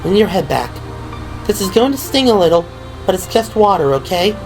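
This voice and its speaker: A man's voice: high voice, sing-song, moderate pace, voice pushed up, poor audio. A man voicing a nervous, babbling eighteen-year-old female rookie medic in an audio drama, self-deprecating in manner. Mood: anxious, helpful. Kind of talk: audio drama character acting. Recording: music behind the voice.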